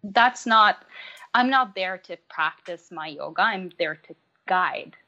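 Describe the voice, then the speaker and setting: A woman whose first language is Norwegian, a yoga teacher telling a story in a casual conversation, pausing often with ums. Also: on the low side, lively intonation, sharp tone, highs cut off. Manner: reflective, many ums and hesitations.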